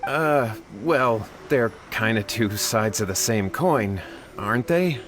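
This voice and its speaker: A man's voice: on the low side, expressive, slow, background noise. An actor playing an anxious, earnest young man in a video game, speaking in a deferential, pleading manner.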